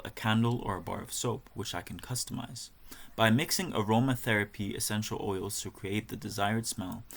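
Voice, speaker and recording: A young man, a fine art student, reading through a presentation of his work in a measured way with some fillers and restarts. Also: lowish voice, gravelly, a bit bright.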